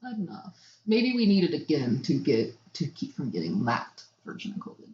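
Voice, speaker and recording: A woman's voice: low-pitched, huge pitch swings, normal speed, warm tone. Echoey woman, playful co-host. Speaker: podcast co-host. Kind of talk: casual improvised banter. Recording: echoey room, dull lo-fi audio.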